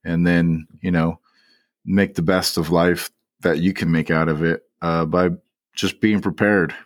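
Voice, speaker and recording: A man's voice: sits low, flat delivery, a little slow, no room sound, clean studio sound. A man co-hosting a podcast, talking in a laid-back way with loose phrasing. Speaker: podcast co-host. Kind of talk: casual podcast talk.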